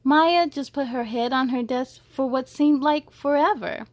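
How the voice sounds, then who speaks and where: High-voiced woman reading a storybook aloud. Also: measured pace, no room sound. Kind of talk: expressive children's picture book reading with short asides.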